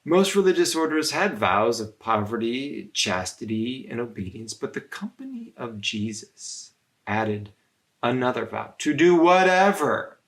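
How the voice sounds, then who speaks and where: A man reading a children's story aloud as a storyteller, in an expressive, deliberate way with pauses between phrases. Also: mid-range voice. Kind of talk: read-aloud storytelling narration.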